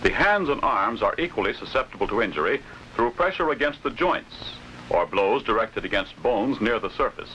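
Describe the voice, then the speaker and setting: An American man delivers formal, scripted instructional film narration in an authoritative, deliberately enunciated way, on an old film soundtrack. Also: medium pitch, lively intonation, bright, forceful tone, a little hiss or hum, low-quality recording.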